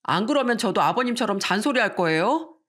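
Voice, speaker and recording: A woman's voice: mid-range voice, animated, brisk, fairly bright tone. A woman voicing a sensitive, devoted adult son-in-law in a story, speaking politely and effusively. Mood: teasing. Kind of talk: scripted story dialogue.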